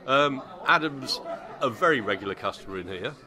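A man's voice: mid-range voice, lively intonation, moderate pace. A man from a brewery answering interview questions, hesitant with many fillers. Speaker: brewery representative. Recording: distant mic in noisy crowd.

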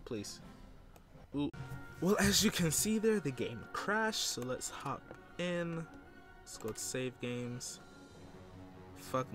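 A man giving casual let's play commentary, rambling and thinking aloud with casual swearing. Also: mid-range voice. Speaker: gamer recording a let's play. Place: indoors at a computer.

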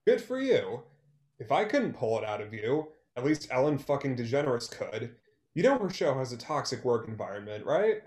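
A man's voice: medium pitch, big pitch swings, normal speed, darkish tone, lightly acted. A young man reading the part of a sarcastic, impatient music performer in a play. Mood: bitter. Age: young adult. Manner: curt, sarcastic. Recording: echoey room on video call.

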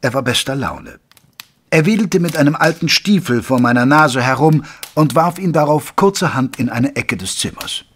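An actor playing a loyal gentleman doctor who narrates a detective story in a measured, literary way. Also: fairly high pitch, expressive, a little hiss or hum, close to an everyday voice. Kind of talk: scripted audio drama narration.

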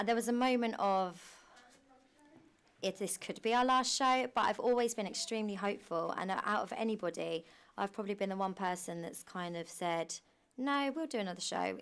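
A woman's voice: medium pitch, fairly quick, clear, resonant tone. A young British woman talking informally and at length in a documentary interview, as a director of stage shows. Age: young adult. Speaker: pantomime director and producer. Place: small costume room indoors.

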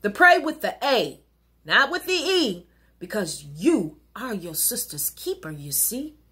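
Animated, slightly husky woman reciting rhyming poetry. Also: mid-range voice, measured pace. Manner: earnest, rhythmic, exhorting. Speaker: minister and poet. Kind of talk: recited rhyming spoken-word sermon poem. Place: wood-paneled living room at home.

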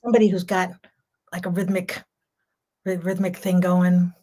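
A woman's voice: on the low side, flat delivery, normal speed, dark tone. Older woman, strong voice, rambling and laughing, home video call. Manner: chatty, laughs often, rambling. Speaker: jazz singer, guest. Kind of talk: casual video-call interview. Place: home room.

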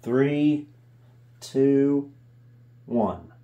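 A man's voice: medium pitch, lively intonation, drawn-out words, dark tone, lo-fi sound, a little hiss or hum. A man giving casual hands-on commentary as a video host, thinking aloud and often unsure. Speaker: host of a vintage computer video channel. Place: indoors in a home room.

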